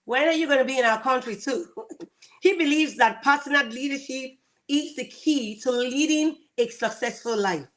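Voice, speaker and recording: A woman with a slightly high voice hosts an interview. She reads out an introduction and asks questions in an eager, lively way, laughing easily. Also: moderate pace. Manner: enthusiastic, laughs often. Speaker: host.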